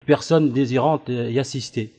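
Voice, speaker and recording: A man who hosts an online debate room, talking casually on a call and explaining things in a hesitant, halting way. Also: medium pitch, steady tone, mellow. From Italy. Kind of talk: casual phone conversation. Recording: dull lo-fi recorded call.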